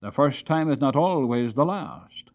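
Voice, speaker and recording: A man's voice: mid-range voice, animated, unhurried, phone-quality sound. A man voicing a plain-spoken, horse-loving groom with a folksy, frank manner in a storybook reading. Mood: frank indignation.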